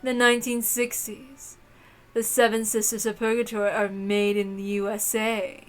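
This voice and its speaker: A woman's voice: on the high side, little pitch movement, moderate pace, faint music behind, close to an everyday voice, poor audio. A woman voicing a cruel, mocking aristocratic witch lady with theatrical, taunting delivery in a visual novel read-aloud. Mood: scornful.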